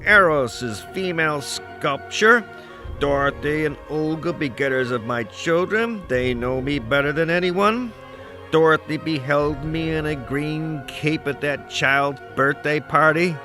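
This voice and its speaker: A man's voice: fairly high pitch, measured pace, bright, forceful tone, soft background music. A man voicing an aging, cantankerous, proud American poet in a rambling dramatic soliloquy, with a crusty, musing, oratorical delivery and salty asides. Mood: wistful, reverent. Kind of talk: dramatic first-person literary soliloquy.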